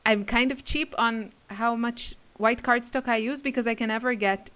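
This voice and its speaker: A woman's voice: on the high side, fairly even intonation, moderate pace, fairly bright tone. A woman crafter chatting casually to her viewers in a rambling, giggly way. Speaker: craft video creator and class teacher. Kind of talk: casual rambling chit-chat to camera.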